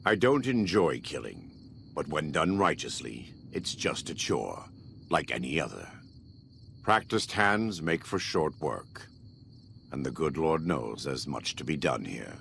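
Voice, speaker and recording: Very deep, gravelly righteous warrior preacher. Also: male voice, very animated, fairly bright tone, a little hiss or hum, full character voice. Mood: solemn, resolute. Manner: solemn, measured, preacherly. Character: devout, vengeful former warlord, grave and righteous man. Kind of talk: video game dramatic dialogue.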